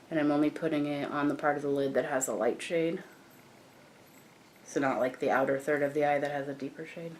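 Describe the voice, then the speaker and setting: A young woman with a croaky morning voice, chatting casually as she walks through a makeup tutorial. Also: low voice. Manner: chatty, relaxed, frequent fillers and restarts. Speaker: beauty vlogger.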